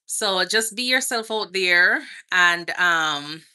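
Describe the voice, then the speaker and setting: Jamaican woman hosting a live chat outdoors, lowish pitch, bright tone, friendly and chatty. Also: expressive, takes it slowly, clear, resonant tone. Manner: chatty, greets viewers by name. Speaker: vlogger and nurse. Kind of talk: casual livestream Q&A.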